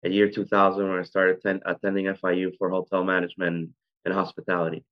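A man's voice: deep voice, completely flat delivery, fast. A man in his forties from Venezuela, a restaurant founder telling his story in an interview, rambling with many ums and self-corrections. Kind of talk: conversational interview. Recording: dull lo-fi sound.

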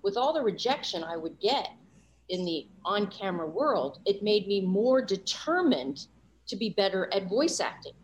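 A woman's voice: medium pitch, animated, normal speed, slight background noise, low-quality recording. Earnest woman guest reflecting candidly. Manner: earnest, reflective, many "you know" asides. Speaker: voice-over coach and guest. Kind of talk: candid interview talk.

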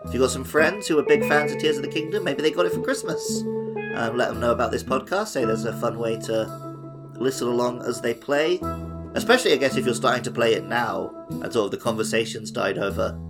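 A man with noticeable vocal fry hosts a casual podcast. He talks in a wry, joking way at an ordinary pitch, while plucked guitar music plays prominently behind him. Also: brisk. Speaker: podcast host.

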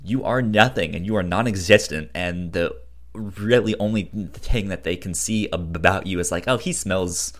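A man with a stutter and a halting delivery, casually discussing films as a podcast co-host. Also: low voice, fairly bright tone. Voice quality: stutter, repeats first sounds of words. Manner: halting, hesitant delivery with frequent restarts.

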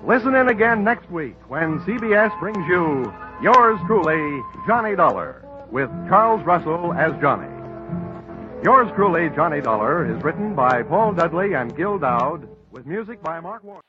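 A man's voice: on the high side, big pitch swings, moderate pace. An American man reading scripted announcements as a 1940s radio network announcer. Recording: dull vintage radio recording over music.

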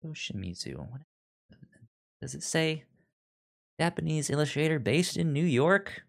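Co-host for casual book chat: unhurried, halting and stammering, pitch in the middle range. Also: expressive, gravelly. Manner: hesitant, stammering delivery. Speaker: podcast co-host.

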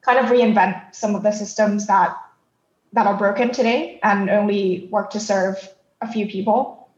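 A woman from Thailand speaking as a podcast guest, explaining her thoughts in a reflective, halting, conversational way. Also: mid-range voice, fairly even intonation, echoey room, lo-fi sound. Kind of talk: conversational interview answers.

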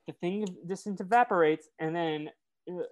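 A woman's voice: lowish voice, moderate pace, darkish tone. A woman with a nasal voice co-hosting a podcast, recapping casually and chattily, slipping into quoted lines as she tells it. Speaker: podcast co-host. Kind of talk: casual episode recap and chat.

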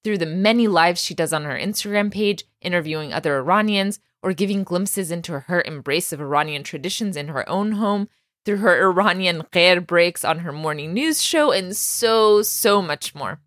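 A young woman hosting an interview podcast, admiring and quick to laugh. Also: on the low side, lively intonation. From United States. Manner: warm, admiring, laughs often. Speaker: podcast host and Persian teacher.

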